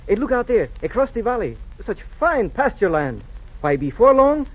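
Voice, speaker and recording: Proud young rancho owner, lively melodic swings, 1940s radio drama, dull archival recording. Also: male voice, very high-pitched, normal speed, exaggerated voice. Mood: proud, eager.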